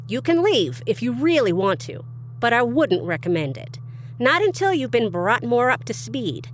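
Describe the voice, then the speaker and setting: A woman's voice: fairly high pitch, sing-song, moderate pace, slight background noise. A woman playing a streetwise, protective female vampire in an audio drama, talking informally, frankly and reassuringly. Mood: cautioning.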